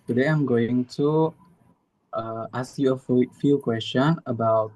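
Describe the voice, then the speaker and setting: A man's voice: medium pitch, a little slow, a little mellow, low-quality recording. A young man from Indonesia interviewing a guest over a video call, asking simple questions with some hesitation. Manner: plain, slightly hesitant questioning with fillers. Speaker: student interviewer.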